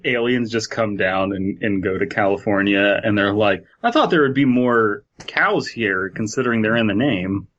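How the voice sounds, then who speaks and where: Lowish-voiced man riffing. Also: normal speed, hardly any pauses, fairly bright tone, dull audio. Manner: joking, conversational. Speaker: podcast co-host. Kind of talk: casual comedic riffing.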